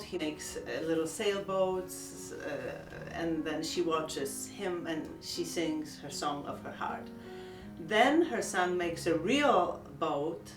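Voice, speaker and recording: A middle-aged woman with a Polish accent, speaking in a gentle, earnest and reflective way in a heartfelt personal vlog. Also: lowish voice, echoey room, distant mic. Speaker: vlogger.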